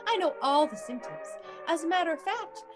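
Woman playing a high, swooping, meddling house mother. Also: sing-song, fairly quick. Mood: knowing. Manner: arch, knowing, theatrical. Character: wry, meddling former sorority house mother, matchmaking confidante. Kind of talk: performance of a classic radio play. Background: music behind the voice.

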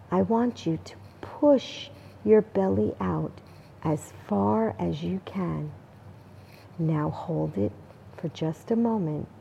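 A woman guides a meditation in a dark, relaxed voice with some creak in it. She moves calmly through short phrases and leaves space between them. The recording is a little dull, with faint noise behind her. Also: mid-range voice. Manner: calm, deliberate, with long pauses between short phrases. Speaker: meditation guide, spa owner and life coach.

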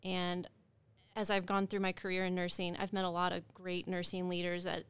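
A woman nurse guest on a podcast, speaking in a measured, thoughtful way. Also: on the low side, completely flat delivery, a bit bright, smooth, no room sound. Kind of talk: conversational podcast interview.

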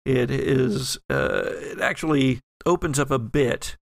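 A man's voice: mid-range voice, big pitch swings, takes it slowly, vocal fry, no echo, studio quality. A man narrating a horror role-playing audio drama as game master, with descriptive, suspenseful storytelling.

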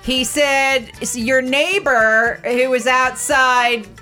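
A woman's voice: high voice, fairly even intonation, slow, bright, forceful tone, soft background music. A woman co-hosting a radio show in casual chat, with a lisp and a gushing, chatty way of talking.

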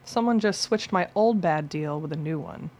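A woman's voice: low-pitched, normal speed, darkish tone. An actress playing a weary, guarded young woman on the run who talks bluntly and swears. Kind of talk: radio play acting.

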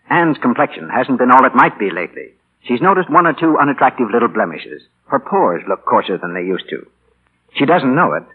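A man's voice: mid-range voice, husky. A man announcing in scripted 1940s radio style, as a sponsor's spokesman. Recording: muffled, tinny 1940 radio broadcast.

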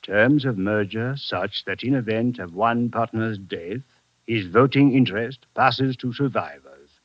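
Male official, measured and dry-witted, vintage radio drama, dulled sound. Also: fairly low pitch, no echo. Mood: formal. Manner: formal, measured. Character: suave, dryly menacing French male official. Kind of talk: 1950s radio drama acting. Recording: dull old radio recording.

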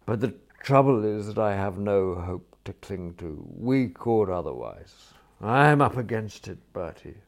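A man's voice: on the low side, lively intonation, unhurried. Man playing a mournful young upper-class Englishman. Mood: gloomy, aggrieved. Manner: mournful, melodramatic.

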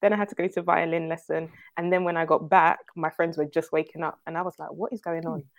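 A woman's voice: deep voice, steady tone, fast talker, creaky. A young woman from North London with a London accent, chatting as a guest in an interview, laughing now and then and using "like" a lot. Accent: London English. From North London, England. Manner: chatty, laughs often, leans on "like" and "um". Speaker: guest entrepreneur. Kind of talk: casual interview talk.

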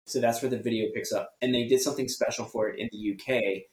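A man from the US, a podcast guest talking about music in a measured, thoughtful way with some fillers. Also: mid-range voice, fairly even intonation, a little mellow. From United States. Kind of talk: casual music discussion. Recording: echoey room.

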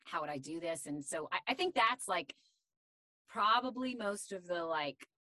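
A woman voice actor with a deep voice, talking candidly and chattily in an interview, with many fillers. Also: animated, normal speed, sharp tone, no room sound. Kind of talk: casual interview chat.

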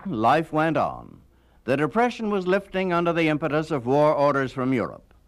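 Animated male narrator, slightly high. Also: normal speed, a little hiss or hum. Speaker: documentary narrator. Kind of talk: scripted radio documentary narration.

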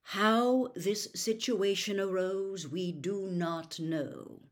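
A woman voicing a grave, calm old male headmaster, a wizard with measured, deliberate delivery in a storybook reading. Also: medium pitch, pitched above the performer's own voice. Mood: grave, resigned.

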